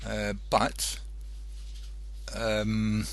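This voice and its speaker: A man hosting an internet radio show in a casual, rambling monologue, halting and hesitant as he thinks aloud. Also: on the low side, completely flat delivery.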